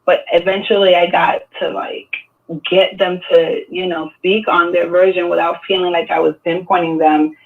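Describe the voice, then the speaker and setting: A man in his thirties from the Dominican Republic, raised in New York City, with a New York accent, talking in a relaxed, reflective way with many fillers on an artist panel. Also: high voice, normal speed, gravelly. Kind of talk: casual panel discussion. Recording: tinny, distant phone-like sound.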